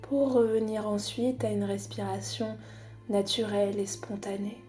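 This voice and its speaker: Soft mellow woman guiding relaxation over music. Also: mid-range voice. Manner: calm, unhurried, soothing, with long pauses. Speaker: psychologist guiding a relaxation exercise. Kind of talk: guided body scan meditation.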